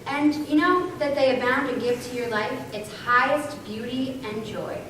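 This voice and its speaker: High female stage reader, very echoey. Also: a little slow. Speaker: readers theater performer. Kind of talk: scripted stage recitation. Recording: echoey hall, distant mic, noisy.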